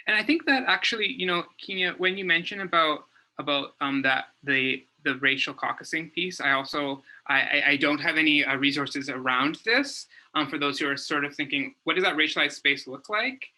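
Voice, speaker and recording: A man speaking informally on an online panel, haltingly searching for words and repeating phrases as he goes. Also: fairly high pitch, sharp tone, poor audio. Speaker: panelist and community developer. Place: online video call.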